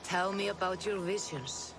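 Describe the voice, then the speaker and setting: A solemn, mystical seer, as a woman voices her for a video game cutscene. She talks slowly and carefully, in a mid-pitched voice. Game noise hums underneath. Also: fairly bright tone, close to an everyday voice, low-quality recording. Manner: measured, solemn, slow. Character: calm mystical female seer, middle-aged.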